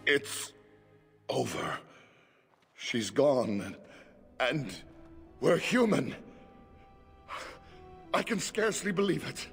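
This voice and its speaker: A man's voice: medium pitch, very animated, drawn-out words, vocal fry, pauses often, fairly bright tone, faint music behind, exaggerated voice. An actor voicing an earnest, grateful man in a fantasy game, sincere and full of wonder. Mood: stunned relief. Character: earnest, grateful man freed from a werewolf curse. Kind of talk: fantasy video game acting.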